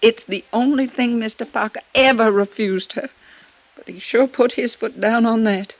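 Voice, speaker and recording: An actress playing a loyal, wary, deferential American housekeeper in a 1950s radio drama, folksy and hesitant. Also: female voice, fairly high pitch, steady tone, moderate pace, no echo, close to an everyday voice, poor audio. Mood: defensive, upset.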